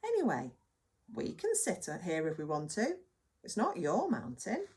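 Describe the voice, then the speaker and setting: A woman's voice: mid-range voice, wildly swooping pitch, a little slow, darkish tone, breathy edge, full character voice. A woman voicing a cheeky gang of storybook crocodiles who answer back defiantly.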